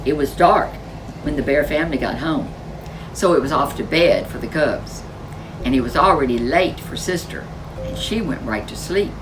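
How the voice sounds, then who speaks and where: A woman's voice: deep voice, expressive, moderate pace, recorded from a distance, noisy background. A woman reading a children's storybook expressively as a storyteller. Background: music.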